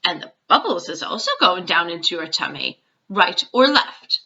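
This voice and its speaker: A woman voicing a big, kindly, excitable old male giant from a storybook, with mangled grammar and nonsense words. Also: fairly low pitch, normal speed, creaky, dull audio, exaggerated voice. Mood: earnest explaining.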